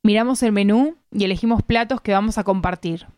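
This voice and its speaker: A woman from Argentina with an Argentine Spanish accent reads a literary first-person story aloud in measured, deliberate phrasing. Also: mid-range voice, a little mellow, no echo. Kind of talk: literary narration, read aloud.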